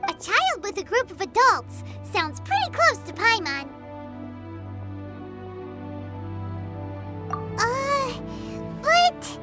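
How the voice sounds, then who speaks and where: A woman voicing a tiny, excitable fairy-child companion in a video game, cheeky, eager and childlike. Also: very high voice, takes it slowly, faint music behind, full character voice. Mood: curious. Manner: childlike, refers to self in third person.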